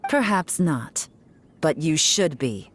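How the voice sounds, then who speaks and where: Low-voiced, cool stern investigator. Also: female voice, very animated, takes it slowly, a little hiss or hum, full character voice. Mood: menacing, smug. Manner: commanding, wry, composed. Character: cool, stern, self-assured female investigator. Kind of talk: dramatic video game voice acting.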